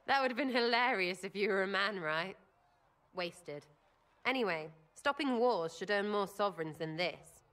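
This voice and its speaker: A woman's voice: mid-range voice, lively intonation, unhurried, fairly bright tone. A woman voicing a brash, irreverent young rogue in a video game, with an English accent and a blunt, slangy, rambling manner. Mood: playful, excited.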